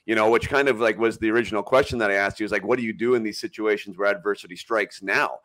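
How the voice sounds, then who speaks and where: A man's voice: fairly low pitch, quick pace, fairly bright tone. A man hosting a hockey podcast, chatting with his guest in a conversational, emphatic way. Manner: conversational, emphatic, uses many fillers. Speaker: podcast host. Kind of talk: casual interview chat.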